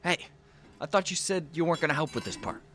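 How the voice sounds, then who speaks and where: Cynical, brusque tough guy with lively inflection. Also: fairly high pitch, fast. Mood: irritated. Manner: sarcastic, blunt, suspicious. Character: cynical, brusque, greedy younger male tough. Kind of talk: audio drama acting.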